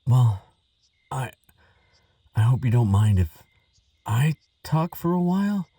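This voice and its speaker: A man playing a nervous, kindly, chatty researcher in an audio roleplay, hesitant and gentle, rambling with small stammers. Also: medium pitch, big pitch swings, takes it slowly, breathy edge, no room sound, distant mic, heavily acted. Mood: awkward, apologetic. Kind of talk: audio roleplay monologue addressed to the listener.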